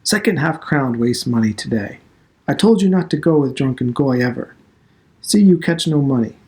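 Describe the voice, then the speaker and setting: A man's voice: mid-range voice, animated, moderate pace, a little mellow, natural voice. Man voicing a severe, reproachful old immigrant father. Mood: stern reproach. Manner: broken immigrant English, reproachful questions.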